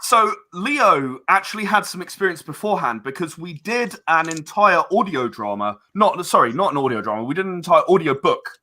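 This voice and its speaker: Halting man with a bright, higher voice. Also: very animated. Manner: halting, rambling. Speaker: interview guest, audio drama producer. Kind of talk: casual podcast interview.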